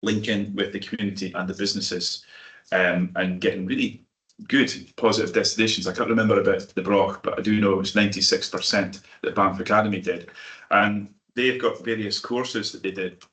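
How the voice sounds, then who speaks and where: A man with a broad Doric accent speaks in a very low, level voice. He talks as a councillor in a committee meeting, earnest and emphatic with a folksy turn of phrase, and rarely lets his pitch rise or fall. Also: fairly even intonation, brisk, a bit bright, echoey room. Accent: North-East Scots (Doric). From North-East Scotland.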